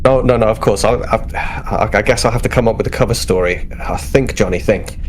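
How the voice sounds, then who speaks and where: A man's voice: fairly low pitch, a little fast, slight background noise, lightly acted. A man playing a cocky, sporty starship crewman in a tabletop game, talking in a boastful, informal way. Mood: scheming.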